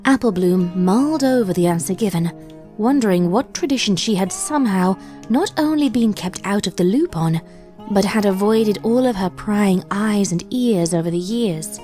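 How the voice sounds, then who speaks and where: A woman reading a horror fan story aloud in steady scripted narration. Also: mid-range voice, moderate pace, faint music behind.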